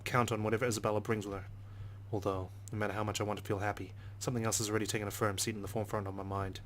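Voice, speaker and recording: A man's voice: fairly low pitch, a bit bright, a little hiss or hum. A man reading a visual novel aloud in the first person with a dry, sardonic delivery. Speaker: let's play narrator.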